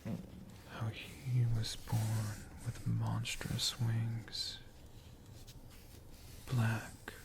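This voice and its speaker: Whispering ASMR storyteller of unclear sex, extremely slow delivery, breathy and hushed, dramatic pauses between phrases. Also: medium pitch, completely flat delivery. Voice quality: breathy, mostly unvoiced whisper.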